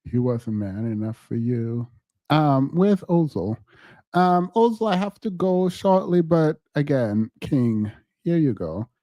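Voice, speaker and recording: A man's voice: a little high, animated, slow pace, warm tone, no room sound. A man whose first language is not English hosts a livestream, talking in a playful, teasing way and drawing out emphatic words. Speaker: livestream host. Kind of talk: casual livestream chat.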